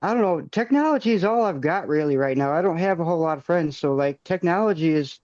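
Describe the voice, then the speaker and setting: A man in his forties, a podcast guest, speaking casually with soft hesitation and frequent ums. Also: fairly high pitch, animated, fast, no echo, lo-fi sound. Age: 40s. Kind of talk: casual interview chat.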